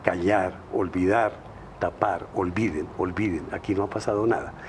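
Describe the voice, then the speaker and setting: A man's voice: low-pitched, steady tone, normal speed, slight background noise. A man, a novelist, speaking emphatically on a studio panel, stacking words in rhythmic series. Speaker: novelist guest.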